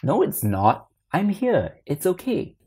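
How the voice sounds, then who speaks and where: A woman voicing a gentle father who coaxes and soothes his young son in a storybook reading. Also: fairly low pitch, wildly swooping pitch, slow, slightly rough, voice pushed up, full character voice. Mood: reassuring. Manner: soothing, persuasive.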